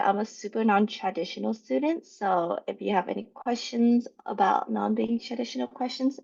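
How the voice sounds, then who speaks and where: A woman's voice: mid-range voice, gravelly. A woman from Vietnam, a student panelist, introducing herself eagerly over a video call. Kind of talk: casual self-introduction. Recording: dull lo-fi call audio.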